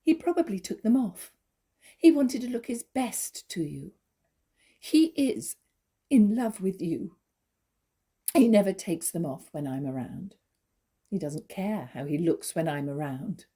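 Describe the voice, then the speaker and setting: An actress playing a naive, jealous young wife, pleading and accusing in stagey dramatic dialogue. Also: female voice, medium pitch, expressive, unhurried, long pauses, dark tone, slightly rough. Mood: hurt, imploring. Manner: imploring, emotional, accusatory.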